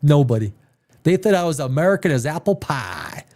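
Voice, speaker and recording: A man's voice: on the high side, sing-song, moderate pace, a little mellow. A man hosting a livestream chat, blunt and profane, hammering his points with repetition. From Canada. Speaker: livestream host.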